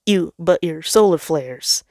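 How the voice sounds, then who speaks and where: A woman's voice: deep voice, lively intonation, slow pace, mellow, no echo, studio quality, full character voice. A woman voicing a hot-headed but apologetic male planet, murmuring and defensive, in a story reading. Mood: weak.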